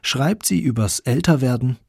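A man's voice: medium pitch, normal speed, vocal fry, a little mellow, slightly rough, no echo, clean studio sound. A man with a standard German accent reading scripted narration as the narrator of a radio feature.

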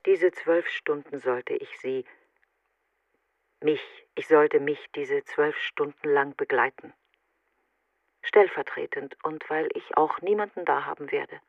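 An actress playing a grieving, fearful woman in a literary radio drama monologue, speaking in halting, deliberate fragments with a breathy, often nearly whispered voice. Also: low-pitched, dry recording, exaggerated voice. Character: grieving, fearful, brooding woman mourning her best friend.

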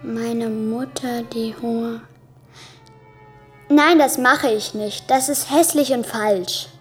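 A woman's voice: on the high side, slow pace, faint music behind. A woman playing a wary but bold, questioning eleven-year-old abducted girl in a German radio drama. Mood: defiant.

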